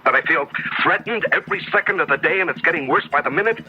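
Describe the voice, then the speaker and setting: An actor playing a desperate, fear-haunted journalist in a 1970s radio drama, agitated, halting and pleading. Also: male voice, fairly high pitch, close to an everyday voice. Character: desperate, fear-haunted male journalist under a death curse. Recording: dull, noisy 1970s radio broadcast.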